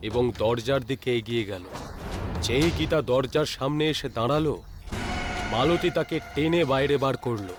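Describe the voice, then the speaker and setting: A man's voice: medium pitch, moderate pace, over music. A man narrating a scripted ghost story as a storyteller.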